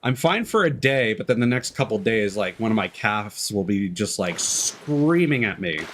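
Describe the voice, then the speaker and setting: A man livestreaming a video game, chatting casually in a rambling, halting way with long pauses. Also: lowish voice, expressive, fairly bright tone. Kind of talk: casual chat while playing a game. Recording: faint game music behind. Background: game music.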